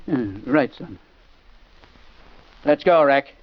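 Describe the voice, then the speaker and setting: A man's voice: on the high side, slightly rough, heavily acted. A man playing a plain-spoken older police father with colloquial talk, in 1940s radio style. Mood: subdued. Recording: muffled 1940s radio recording.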